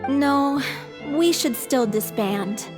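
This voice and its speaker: An earnest young stage performer speaks frankly in a high voice. It is game dialogue with long reverb and faint music behind it. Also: female voice, lively intonation, slow. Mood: firm. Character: earnest young woman of a theatre troupe. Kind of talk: video game dialogue acting.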